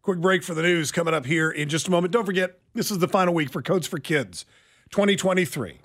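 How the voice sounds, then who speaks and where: A man's voice: fairly high pitch, fast talker, a bit bright. A man hosting a radio show with casual, joking banter and a wry manner. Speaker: radio host. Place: radio studio.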